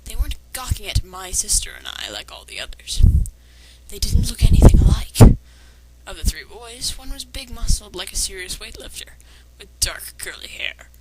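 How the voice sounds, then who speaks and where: A woman's voice: medium pitch, sing-song, moderate pace, background noise. A woman reading fan fiction aloud with sarcastic, critical commentary. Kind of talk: dramatic reading with mocking commentary.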